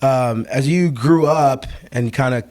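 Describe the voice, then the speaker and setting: A man's voice: mid-range voice, measured pace, darkish tone. A young man hosting a casual interview, laid-back and chatty, full of "like" and "you know". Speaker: podcast host.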